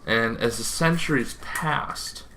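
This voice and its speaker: A man's voice: medium pitch, slow pace, background noise. A man hosting an informal explainer, talking in a rambling way with asides and self-corrections. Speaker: host of a tabletop gaming show.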